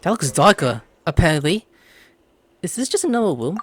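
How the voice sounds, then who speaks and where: A woman's voice: on the low side, big pitch swings, moderate pace, noisy background. A woman casually reacting and commenting while playing a video game. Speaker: gamer commenting on gameplay. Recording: faint game music behind.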